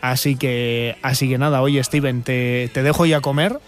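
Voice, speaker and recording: A man's voice: mid-range voice, steady tone, normal speed, soft background music. A man with a Spain Spanish accent hosting a radio interview, chatty and full of fillers. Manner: chatty, friendly, lots of fillers. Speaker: radio host.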